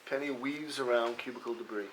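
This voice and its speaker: A man's voice: medium pitch, steady tone, fairly quick, fairly bright tone. A man reading out the action of a film script as narration at a table read. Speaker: reader of stage directions. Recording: noisy room with clicks.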